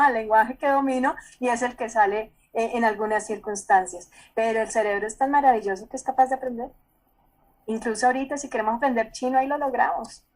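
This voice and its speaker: A Colombian woman psychologist speaks over a video call in a somewhat high voice. She explains at an easy conversational pace, repeating words and often pausing to check that the listener follows. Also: rough recording.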